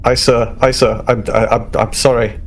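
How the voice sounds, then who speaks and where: A man's voice: mid-range voice, level tone, normal speed, a little hiss or hum, lightly acted. Man playing a stammering, nervous young ensign. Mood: contrite, anxious. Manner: stammering, apologetic. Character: nervous, guilty young male starship ensign.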